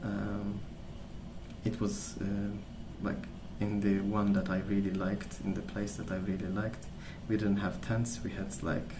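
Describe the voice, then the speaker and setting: A man speaking softly as an ASMR host, rambling through stories in a hesitant, pausing, unhurried way. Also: fairly low pitch, flat delivery, background noise. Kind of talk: soft-spoken ASMR rambling.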